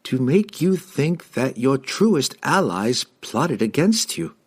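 A man voicing a sly halfling in a fantasy audiobook, feigning meek obedience in measured, persuasive speech. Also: mid-range voice, very animated, breathy edge, voice pushed up, full character voice. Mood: feigned submission.

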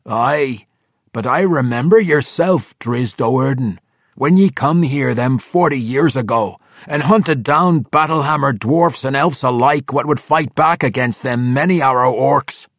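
A grizzled, suspicious old dwarf veteran, lifted well above the reader's own voice. He drawls accusations in a gruff brogue, recorded close and dry. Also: mid-range voice, measured pace, dry recording, voice pushed up. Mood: accusing.

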